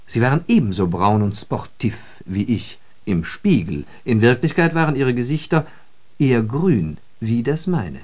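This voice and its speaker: An actor playing a wry, puzzled observer of city life in a literary radio monologue, speaking articulately with irony. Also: male voice, medium pitch, darkish tone. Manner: ironic, articulate, deliberate phrasing.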